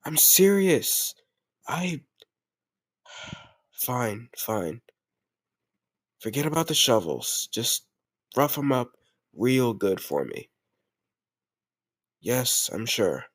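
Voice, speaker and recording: A man's voice: mid-range voice, very animated, very slow, long pauses, no echo, clean studio sound, heavily acted. A man playing a doting but ruthless mafia boss in an intimate audio roleplay, commanding yet affectionate, using gangster slang. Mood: stern, threatening.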